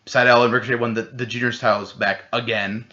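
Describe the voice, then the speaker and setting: A man co-hosting a casual podcast chat, rambling and excitable, swearing freely. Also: mid-range voice, fast. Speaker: podcast co-host. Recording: dull lo-fi podcast audio.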